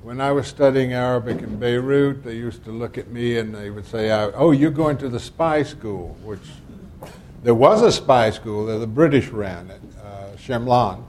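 A man's voice: medium pitch, normal speed, a little mellow, a little hiss or hum. An older man on a panel answering an audience question, telling anecdotes with wry asides and acting out quoted exchanges. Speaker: panelist, former diplomat. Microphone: panel microphone.

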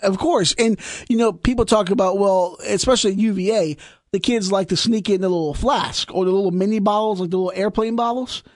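High-voiced wisecracking young man, dry sound. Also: a little fast, dry recording. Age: 20s. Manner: wisecracking, sarcastic, swears freely. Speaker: radio show co-host. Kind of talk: casual crude banter.

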